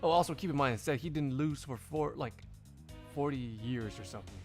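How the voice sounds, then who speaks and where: A man's voice: mid-range voice, fairly quick, darkish tone, faint music behind. A man chatting casually on a livestream in a rambling way, swearing here and there. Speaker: streamer doing a one-man live fan dub.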